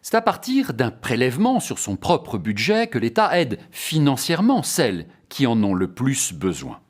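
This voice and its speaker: A man's voice: medium pitch, huge pitch swings, normal speed. A man presenting a formal, scripted explanatory lecture in a didactic, carefully structured way.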